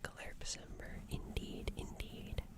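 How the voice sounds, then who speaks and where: A woman doing soft whispered ASMR roleplay as a gentle, caring alien, close to the microphone, soothing and unhurried, softly repeating words. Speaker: ASMR streamer roleplaying a character.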